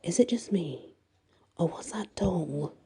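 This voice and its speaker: A woman voicing a quirky, wide-eyed young woman, a conspiratorial best friend in a bedtime story, hushed and dramatic. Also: on the low side, takes it slowly. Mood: hushed, spooked.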